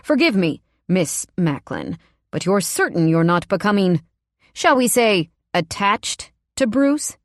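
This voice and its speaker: A woman's voice: mid-range voice, sing-song, measured pace, no echo, studio quality. A woman voicing a tall, cold, sinister man with a vaguely British accent, clipped and menacingly courteous. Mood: icily polite. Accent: vaguely British, not quite British or American.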